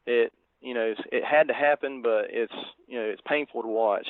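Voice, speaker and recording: A man co-hosting a podcast, discussing a film casually with halting, tentative phrasing. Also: mid-range voice, no echo. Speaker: podcast co-host. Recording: thin phone-like line, dry.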